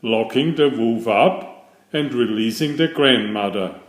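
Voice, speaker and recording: A man narrating a children's fairytale aloud in a deliberate, expressive storybook style. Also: medium pitch, roomy sound.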